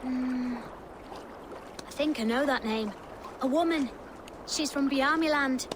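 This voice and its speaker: High-pitched innocent small child. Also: female voice, slow. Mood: earnest, helpful. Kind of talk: video game voice acting. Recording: noisy waterside outdoor ambience.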